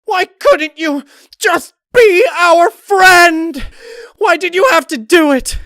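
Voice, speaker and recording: Guy doing a crazed girl pony from a dark story, high shrieky voice, tearful angry pleading, really loud. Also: slow, no echo, clean studio sound, exaggerated voice. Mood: anguished rage. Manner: shrill, shouting, pleading. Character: deranged sadistic female pony, frustrated to tearful rage.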